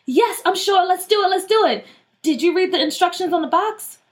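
A woman's voice: very high-pitched, animated, a little fast, voice pushed up. A woman voicing an eager, dramatic, headstrong young schoolgirl in a children's story. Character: headstrong excitable schoolgirl wanting straight hair.

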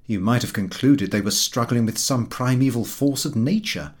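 A man's voice: mid-range voice, normal speed, breathy edge. A man reading a comic novel aloud as scripted audiobook narration.

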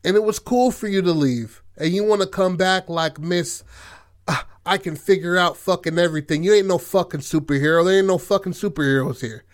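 Man playing a hard-bitten streetwise man, bitter, forceful, profane, pitched up and loud. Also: racing, hardly any pauses, natural voice. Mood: angry, resentful. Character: bitter, hard-bitten man who stayed behind to struggle.

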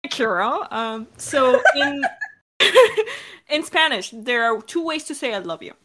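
A woman's voice: high-pitched, sing-song, slow pace, fairly bright tone. A woman from Mexico with an American accent, explaining things informally as a creator in a Q&A, hesitating and correcting herself. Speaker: audio drama creator in a Q&A. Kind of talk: casual chat, explaining.

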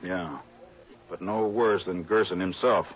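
A muffled old radio recording of an earnest American insurance man. His voice sits fairly low, and he talks in a hesitant, confiding way, obliging and a bit fretful. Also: fairly even intonation, measured pace. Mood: confiding, disapproving. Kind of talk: 1960s radio drama acting. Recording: dull old radio broadcast.

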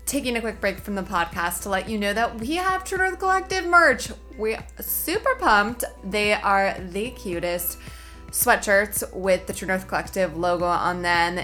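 A woman's voice: medium pitch, pushed and bright. A woman hosting a podcast, reading an upbeat, bubbly promo break. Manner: bubbly, enthusiastic.

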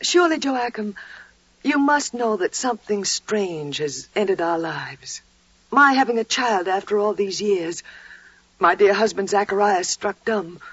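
Gentle older woman, muffled old radio. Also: mid-range voice, animated, measured pace, husky. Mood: earnest, pleading. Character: older devout expectant woman, gentle, protective cousin. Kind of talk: 1940s radio drama acting. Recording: dull, roomy 1940s radio recording.

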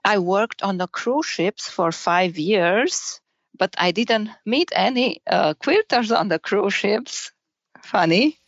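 Female podcast guest, Romanian accent, halting conversational delivery, dry but somewhat lo-fi sound. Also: mid-range voice, expressive, no echo. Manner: halting, searching for words in non-native English. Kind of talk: casual interview chat.

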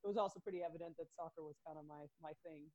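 A woman's voice: low voice, very fast, lo-fi sound. A woman from Sweden, in her thirties, talking casually about her soccer career as a podcast guest and laughing easily. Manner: relaxed, laughs often, chatty with fillers. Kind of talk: casual interview chat.

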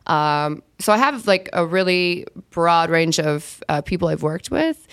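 A young woman who is losing her voice and sounds raspy, talking candidly in long explanations as a podcast guest. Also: fairly low pitch, animated, measured pace, dry recording, studio quality. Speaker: podcast guest, brand consultant. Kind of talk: casual podcast chat.

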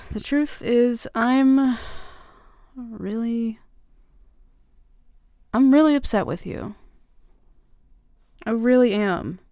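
Young woman, voice actress, creaky voice, teasing older-sister type, casual halting delivery, intimate roleplay, very dry recording. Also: fairly high pitch, warm tone, no room sound. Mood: hurt, upset. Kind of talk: intimate ASMR-style romantic audio roleplay.

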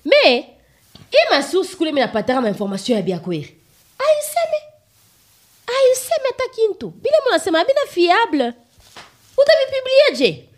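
An actress playing a sceptical, questioning community radio director in a radio play, with a Congolese accent. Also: female voice, very high voice, huge pitch swings, normal speed, pauses often, heavily acted. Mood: defensive. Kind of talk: radio play dialogue in colloquial register.

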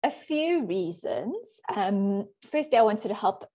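A woman's voice: medium pitch, big pitch swings, slow pace. Chatty woman. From South Africa. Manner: warm, chatty, laughs often. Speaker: guest, life coach. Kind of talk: casual interview talk.

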